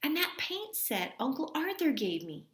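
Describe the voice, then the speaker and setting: A woman's voice: high-pitched, very animated, normal speed, reverberant, voice pushed up. A woman voicing a grumbly, exclamatory young boy in a children's storybook. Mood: eager.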